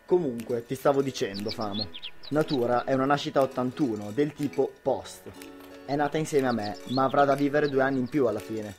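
A man's voice: mid-range voice, fairly quick, over music, natural voice. A young man, a student actor playing a boastful, lovestruck, hot-headed husband in an amateur radio drama. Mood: fond, storytelling. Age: young adult.

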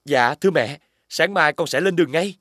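A man voicing an earnest, brave young fairy-tale prince in an expressive children's cartoon style. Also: a little high, moderate pace, no room sound, clean studio sound. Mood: hesitant, respectful.

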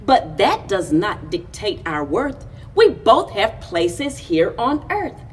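A middle-aged woman with a slightly husky voice reads a children's picture book aloud expressively, phrasing deliberately with pauses between short lines. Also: mid-range voice, big pitch swings, slight background noise. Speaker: library story time reader. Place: indoors, in front of a library chalkboard wall. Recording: distant camera microphone.

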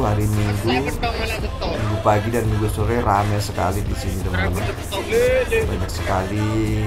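A young man chats to his viewers from a motorbike, his voice a bit low and relaxed as he rides along open roads. Background music plays clearly under his talk, along with the hum of the engine. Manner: chatty, repeats "teman-teman" to viewers, slow filler pauses. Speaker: motovlogger. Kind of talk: casual riding commentary. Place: outdoors on a moving motorbike, rural roads.

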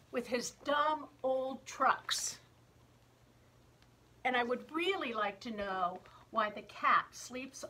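Storybook woman performer as an aggrieved eight-year-old: bright, deliberate delivery, whiny and emphatic. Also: on the high side, full character voice, rough recording. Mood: sulky, resentful. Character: jealous, indignant eight-year-old third grader griping about younger brother. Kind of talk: expressive children's picture book reading.

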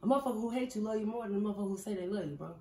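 High, quick-talking man in echo. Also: dark tone. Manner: assertive, profane, aphoristic. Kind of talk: casual street talk.

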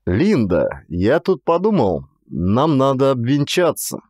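A calm, affectionate male hero voiced by an audiobook narrator, a man, speaking in a medium-pitched voice. His delivery is wry and understated, recorded dry and close. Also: slow pace, no echo, exaggerated voice. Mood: earnest. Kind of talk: audiobook character dialogue.

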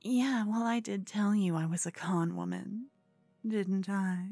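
Woman doing a cute obsessed yandere girl for asmr, slow breathy cooing, super close and dry. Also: mid-range voice, dark tone, no echo, soft background music. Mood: soothing, sinister. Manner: breathy, coy, cooing, often whispering. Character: cute, obsessed yandere con woman, gleefully scheming and clingy. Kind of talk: ASMR roleplay.

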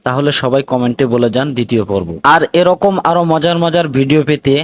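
A man's voice: mid-range voice, fast talker, no room sound. A man with a North Bengal accent giving a scripted sign-off to viewers as the presenter of a video channel. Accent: North Bengal Bangladeshi.

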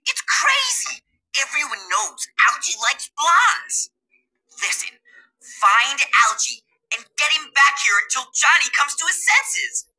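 An excitable, gossipy teenage schoolboy, as a woman voices him in a game cutscene. The voice is high, very bright and bouncy, chattering anxiously. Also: slow, heavily acted, poor audio. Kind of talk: redubbed video game cutscene.

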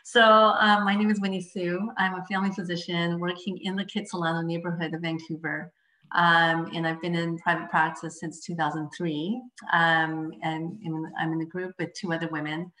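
A woman's voice: fairly low pitch, level tone, normal speed, reverberant, rough recording. A woman family physician whose first language is Mandarin, presenting on a webinar video call in a chatty way, with many fillers and restarts. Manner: chatty, frequent fillers and restarts, laughs softly.

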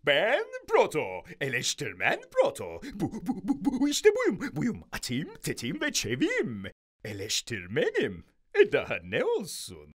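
An actor voicing a pompous, eccentric cartoon turkey critic, husky, self-important and repeating words in stammers. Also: medium pitch, huge pitch swings, measured pace, fairly bright tone, exaggerated voice.

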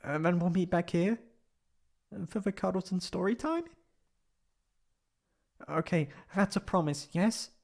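A man voicing a shy, flustered young tsundere catboy in a high put-on voice, halting and stammering in a roleplay audio drama. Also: vocal fry, darkish tone, full character voice. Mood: shy, eager. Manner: halting, stammering, pleading and defensive.

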